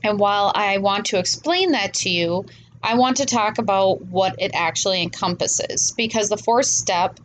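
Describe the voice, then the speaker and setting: A woman with a stuffy, congested voice from a cold hosts a solo podcast. She talks in an earnest, chatty, personal way at a middling pitch. A faint background noise sits under her voice. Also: rough recording. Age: adult parent. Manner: earnest, chatty, laughs at herself. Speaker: podcast host. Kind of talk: casual solo podcast talk.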